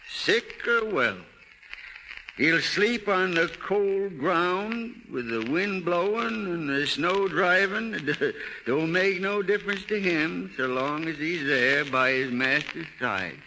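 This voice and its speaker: A hissy 1930s radio recording of an old rural American hound owner. He drawls slowly and haltingly, with pitch rising and dropping widely, in a bright, forceful tone, sentimental and stubborn. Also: heavily acted, rough recording. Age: older. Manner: halting, plain-spoken, chuckling delivery. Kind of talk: 1930s radio drama acting.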